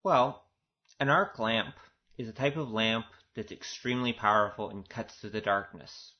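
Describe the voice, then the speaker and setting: A man with a fairly deep voice talks through a director's commentary in an informal, conversational way. He sounds earnest as he speaks as a producer. The recording is a little muffled and lo-fi. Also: moderate pace. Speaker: audio drama producer giving director's commentary. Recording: dull, lo-fi recording.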